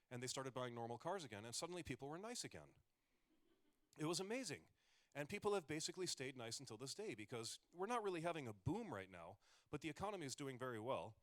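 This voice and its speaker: A man in his forties, a comedian giving a casual talk with joking asides and rambling restarts. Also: mid-range voice. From United States. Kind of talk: conversational stage talk.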